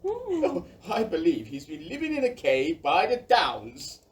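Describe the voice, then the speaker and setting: A woman's voice: fairly low pitch, wildly swooping pitch, unhurried. Woman as a wildly swooping, flustered village spokesperson. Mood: sheepish. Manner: hesitant, formal, fussy. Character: flustered, deferential village spokesperson welcoming a knight. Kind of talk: children's audio play acting. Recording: distant microphone, background noise.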